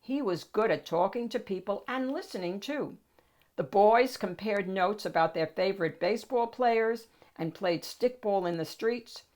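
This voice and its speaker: A woman's voice: mid-range voice, unhurried, a little mellow. Woman reading a children's picture book aloud. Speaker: storybook reader, read-aloud host. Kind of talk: expressive scripted children's picture book narration.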